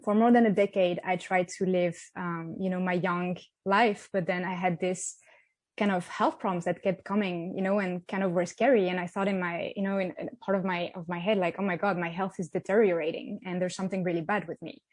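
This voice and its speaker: A woman in her thirties from the south of France with a French accent, telling her story as an interview guest, with many pauses and restarts. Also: on the low side, steady tone, no room sound. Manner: hesitant, many ums and restarts. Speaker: guest, app founder. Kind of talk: interview conversation. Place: outdoors by the sea.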